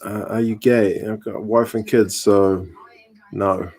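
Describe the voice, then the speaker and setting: Relaxed New man in his thirties. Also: fairly low pitch, a little slow, husky. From New Zealand. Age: 30s. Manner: relaxed, chatty, dry humour. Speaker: live stream host. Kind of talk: casual chat reading viewer comments.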